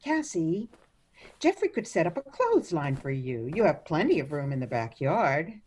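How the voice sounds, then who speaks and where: Lady playing a meddling old granny in a radio play, genteel n fussy, swoopy pitch, husky edge. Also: big pitch swings, moderate pace, exaggerated voice. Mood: chatty. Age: older. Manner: genteel, gently disapproving. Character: sweetly meddling, fussy old mother-in-law.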